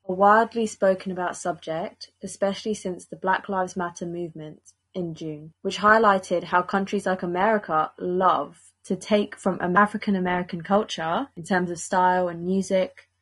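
A young woman presenting a podcast with a steady, scripted delivery. Her voice is slightly low and husky. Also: normal speed. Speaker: podcast host.

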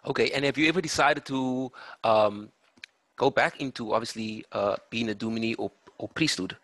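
A man hosts a podcast interview in a measured, encouraging tone. His voice sits at a middle pitch. The sound is dry and close. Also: a bit bright, dry recording. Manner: measured, encouraging, uses um fillers. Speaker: podcast host.

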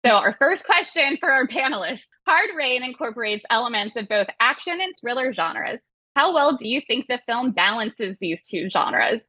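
A woman podcast host with a bright, fairly high voice. She reads scripted material and slips into casual asides in a wry, playful way. Her voice has some husk to it and is closely recorded and dry. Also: sing-song, normal speed, dry recording, highs cut off.

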